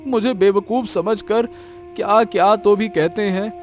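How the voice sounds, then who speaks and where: A man's voice: high-pitched, a little slow, slight background noise. A man playing a naive, honest village vegetable seller in a cartoon, earnest and plaintive, often repeating himself. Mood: hurt, pleading. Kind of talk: Hindi cartoon moral story acting.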